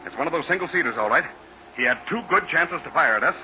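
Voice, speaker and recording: An actor playing a steady, decisive American aviator leader in a radio serial, urgent and businesslike. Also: male voice, on the high side, fast, a little hiss or hum. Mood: watchful. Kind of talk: 1940s radio acting. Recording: muffled old radio recording.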